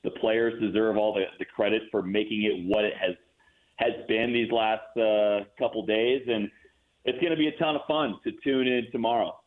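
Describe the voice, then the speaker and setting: A man with a fairly low voice talks sports as a broadcaster guest. He is fluent and enthusiastic in a conversational way. The sound is thin and phone-like. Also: moderate pace. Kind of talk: conversational sports talk interview. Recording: tinny narrow phone-like line.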